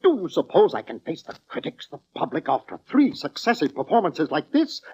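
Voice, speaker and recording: A man's voice: a little high, huge pitch swings, brisk, a little mellow, breathy edge, heavily acted. A man playing a melodramatic, despairing, temperamental orchestra conductor in 1940s radio drama style. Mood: bitter, accusing. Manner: overwrought, theatrical. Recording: dull vintage radio recording.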